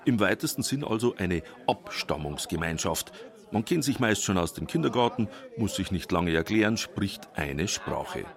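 A man narrating a documentary feature in a wry, measured storytelling manner. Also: deep voice, animated, creaky. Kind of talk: scripted narration.

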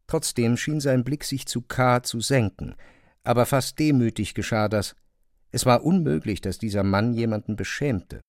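A man's voice: mid-range voice, normal speed, no echo. A man narrating a classic novel as a scripted literary reading, close to a studio microphone.

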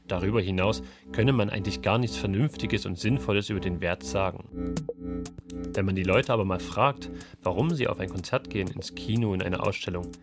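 A man's voice: low-pitched, brisk, over music. A man reporting for a radio culture magazine in scripted narration, reflective and posing rhetorical questions.

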